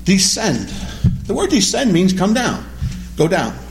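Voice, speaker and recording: Animated teacher, man. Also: sits high, measured pace, noisy background. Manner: animated, asks the class questions and repeats words. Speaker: Bible teacher. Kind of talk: Bible study lesson. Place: classroom or church hall with a small group.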